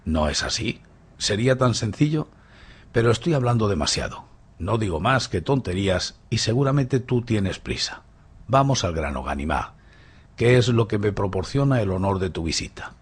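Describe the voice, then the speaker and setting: A man playing a suave, playful, boastful gentleman thief in a radio drama, with mocking, grandly courteous theatrical delivery. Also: lowish voice, very animated, moderate pace, slightly rough.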